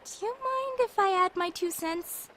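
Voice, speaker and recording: A high-pitched girl survivor voiced by a woman in an apocalypse audio drama. She is polite and practical, explaining earnestly with some hesitation, over faint noisy ambience. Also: moderate pace, a bit bright. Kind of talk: fan audio drama acting.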